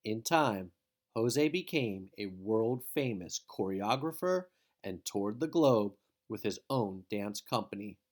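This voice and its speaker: Guy reading a picture book out loud, takes it slow, really expressive and dramatic. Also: fairly low pitch. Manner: dramatic, with emphatic exclamations. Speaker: read-aloud narrator of a children's picture book. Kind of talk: expressive storybook narration.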